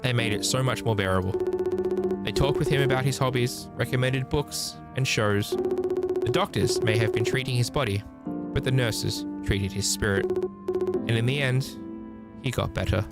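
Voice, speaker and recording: A man voicing a caring, earnest young nurse woman from a visual novel, speaking softly and sincerely in a measured way. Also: mid-range voice, loud music behind the voice, lightly acted. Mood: sincere, fond. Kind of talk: reading visual novel dialogue.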